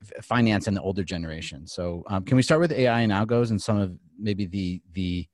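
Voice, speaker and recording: A man's voice: low voice, lively intonation, brisk, a little mellow, no echo, clean studio sound. A man hosting an interview who asks long, meandering questions full of restarts. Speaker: interview host.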